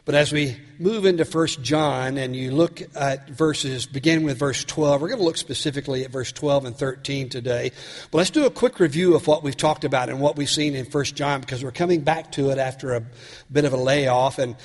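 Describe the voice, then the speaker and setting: Guy preaching a sermon, a little raspy from a cold, kinda high voice, church echo hanging behind him. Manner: earnest, asks the congregation questions. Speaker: pastor.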